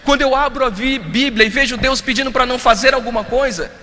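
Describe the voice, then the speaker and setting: A man preaching as a pastor, shifting between forceful appeals and hushed storytelling. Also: very high voice, quick pace, slight background noise. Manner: preaching with rising and falling intensity, drops to hushed storytelling. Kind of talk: sermon. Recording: reverberant hall, faint ambience.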